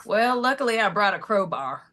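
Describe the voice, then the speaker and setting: A woman acts out a plucky mother. She speaks at a medium pitch, resourceful and wryly cautious, in a table read performance. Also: lively intonation, moderate pace, a bit bright, close to an everyday voice. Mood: resolute. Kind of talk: screenplay table read acting.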